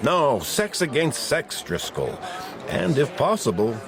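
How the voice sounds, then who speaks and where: An actor playing a brisk, assured gentleman in a period radio drama, speaking formally and insistently. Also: mid-range voice, huge pitch swings, a crowd in the background, full character voice. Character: brisk, assured gentleman go-between recommending a detective.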